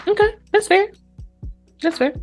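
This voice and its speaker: A woman's voice: extremely high pitch, a little slow, darkish tone, soft background music. A woman hosting a casual interview panel, putting questions to her guests. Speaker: talk show host.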